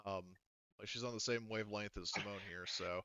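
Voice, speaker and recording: A man's voice: lowish voice, fairly even intonation, fairly quick, pushed and bright, clean tone, no room sound, highs cut off. A man working as game master, narrating a tabletop roleplaying game in a casual, informal way with some swearing.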